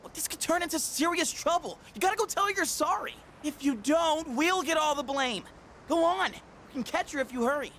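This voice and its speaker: A woman playing a brash, excitable, bossy teenage schoolmate in a video game, talking in slangy exclamations. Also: sits high, fairly quick, a little hiss or hum. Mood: urgent, pushy.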